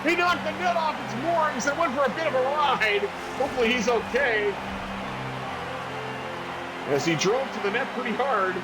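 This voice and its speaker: Excitable high-voiced male hockey caller. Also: normal speed, pauses often, over loud music, rough recording. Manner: excitable play-by-play, jumps high on goals. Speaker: play-by-play commentator. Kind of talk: hockey video game commentary.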